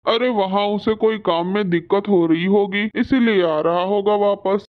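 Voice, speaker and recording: A man's voice: high voice, normal speed, phone-quality sound, close to an everyday voice. A man voicing a male pigeon husband and father in a cartoon, admonishing and reassuring. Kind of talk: Hindi cartoon story dubbing.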